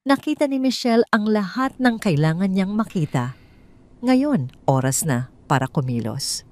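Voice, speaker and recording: A woman's voice: mid-range voice, very animated, moderate pace, darkish tone. A woman with a Filipino accent reading a scripted, dramatic story as its narrator.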